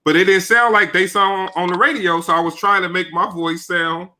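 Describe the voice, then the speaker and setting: Bright-voiced rambling man co-host. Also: high voice, fairly even intonation, moderate pace. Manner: loose, rambling, slangy, many restarts. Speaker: podcast co-host. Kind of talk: casual chat.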